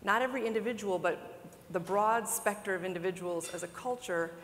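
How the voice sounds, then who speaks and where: A woman's voice: medium pitch, fairly quick, long reverb tail, slight background noise. A woman panelist explaining research findings in a precise, explanatory way. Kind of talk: conference panel discussion. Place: conference stage. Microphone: lapel mic.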